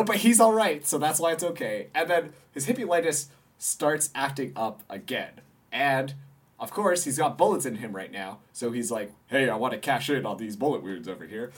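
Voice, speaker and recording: A man co-hosts a comedy podcast in easy, casual chat. He jokes and laughs often, his mid-range voice moving a lot from high to low. He spins silly theories in a loose, playful way. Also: slightly rough. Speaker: podcast co-host.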